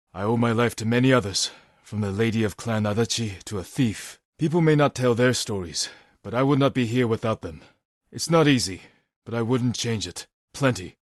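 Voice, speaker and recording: A man voicing a stoic, honorable samurai warrior in a video game, speaking in a measured, solemn and restrained way. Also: lowish voice, no echo, clean studio sound, natural voice. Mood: firm, insistent. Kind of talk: dramatic video game voice acting.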